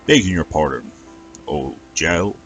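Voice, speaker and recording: Man playing a storybook dragon, British accent, genteel, over-polite, pompous, low voice, light music. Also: expressive, measured pace, fairly bright tone, full character voice. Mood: courteous. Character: large, polite, genteel male dragon, grateful and flowery.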